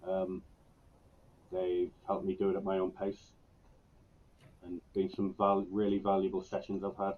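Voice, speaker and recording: Male student guest, reflective and earnest, lowish even voice, muffled lo-fi audio. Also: a little slow, pauses often, slight background noise. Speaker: guest, university student in creative business. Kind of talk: personal conversational interview answers. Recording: dull, lo-fi online call audio.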